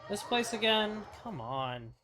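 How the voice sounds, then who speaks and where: A man's voice: high voice, very animated, slow, music in the background. Blunt, sweary man reacting to a game. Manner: blunt, sweary, thinking out loud. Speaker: game streamer. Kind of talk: casual unscripted gameplay reaction commentary.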